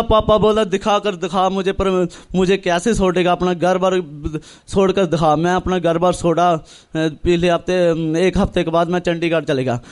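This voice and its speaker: A young man from northern India tells his religious testimony earnestly, in halting, repetitive phrases, acting out remembered dialogue. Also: sits high, clear, resonant tone. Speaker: church member giving testimony. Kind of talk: personal religious testimony, storytelling.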